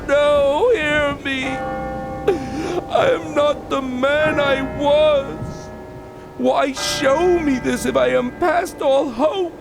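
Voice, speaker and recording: Radio drama: terrified old miser, slow and gravelly, pleading, remorseful. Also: male voice, extremely high pitch, exaggerated voice. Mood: desperate pleading. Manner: halting, pleading, melodramatic. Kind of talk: radio play acting.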